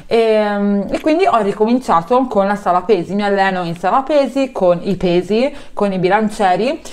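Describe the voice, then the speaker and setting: A woman's voice: mid-range voice, moderate pace, a little mellow. A young woman talking casually to camera from her living room, chatty, with many fillers and self-corrections. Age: young adult. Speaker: vlogger. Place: bright living room at home.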